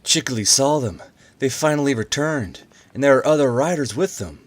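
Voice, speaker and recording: Eager winged creature scout for a fantasy audiobook, played by a man, excitable, pitched up. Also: moderate pace, dry recording, close to an everyday voice. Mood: excited. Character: excitable winged bird-creature scout speaking in third person.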